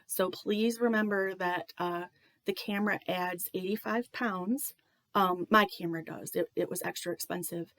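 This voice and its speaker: A woman talking to camera in a joking, self-deprecating way, with many pauses and a slightly nasal voice. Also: on the low side, fairly even intonation, a bit bright, no room sound. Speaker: video creator.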